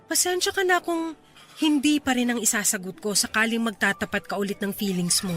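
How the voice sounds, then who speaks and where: An actress plays a young woman who gently but firmly refuses her friend in a radio drama. Her voice is fairly high and composed, calm and apologetic. Faint music runs under her lines. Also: a little fast, close to an everyday voice.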